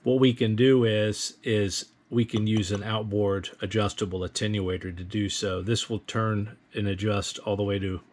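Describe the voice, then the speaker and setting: Man, lowish voice, methodical, instructional, step-by-step equipment demonstration. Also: fairly even intonation, moderate pace, hardly any pauses, a little mellow, a little hiss or hum. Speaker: presenter of radio equipment tutorial. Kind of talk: explanatory product demonstration.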